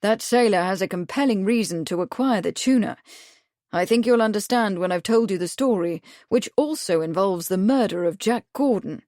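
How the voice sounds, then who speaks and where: A woman voicing a composed aristocratic gentleman who speaks in a measured way. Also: medium pitch, no room sound, clean studio sound, natural voice. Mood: serious.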